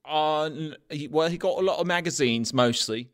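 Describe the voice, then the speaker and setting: A man's voice: a little high, moderate pace, close to an everyday voice. A man voicing a bumbling young male tavern cook and petty thief, talking in a goofy, defensive, rambling way for a comedy podcast bit.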